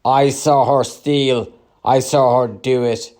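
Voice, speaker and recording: A man voicing an outraged market trader, barking loud, indignant accusations in a dramatized story reading. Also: mid-range voice, fairly even intonation, slow, pitched above the performer's own voice, close to an everyday voice. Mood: outraged shouting.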